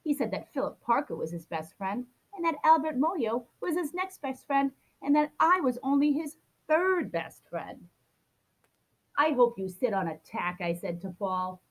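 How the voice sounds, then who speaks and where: A woman's voice: high-pitched, lively intonation, a little slow, low-quality recording. A woman reading a children's picture book aloud, expressively acting out a grumpy child's complaints. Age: adult. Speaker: storybook reader.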